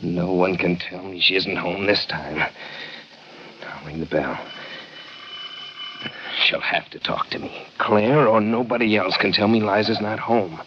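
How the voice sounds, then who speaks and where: An American actor playing a tense, possessive young estranged husband in an old-time radio crime drama, intense and insistent. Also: male voice, low voice, fairly even intonation, measured pace. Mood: brooding, tense. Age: young adult. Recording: dull, noisy vintage radio recording.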